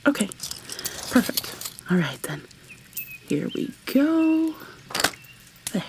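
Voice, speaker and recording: A woman playing a tender, possessive kidnapper in an ASMR roleplay, speaking softly and haltingly, often slipping into a breathy whisper as she coaxes. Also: high-pitched, big pitch swings, slight background noise, exaggerated voice. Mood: coaxing, tender. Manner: coaxing, gentle, halting. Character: tender, possessive female kidnapper doting on her captive lover.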